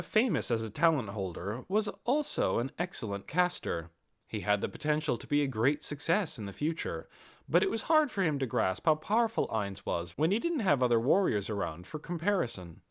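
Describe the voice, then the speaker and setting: Expressive man reading a fantasy audiobook. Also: medium pitch, moderate pace. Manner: expressive, performs dialogue in character voices. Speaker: audiobook reader. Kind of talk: scripted fantasy novel narration.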